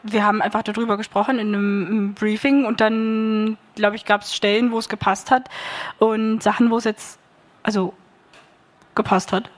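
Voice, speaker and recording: A woman's voice: medium pitch, little pitch movement, moderate pace. A woman speaking on a festival stage panel about her radio play, hesitant with many fillers. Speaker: workshop participant, radio play author. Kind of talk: panel discussion.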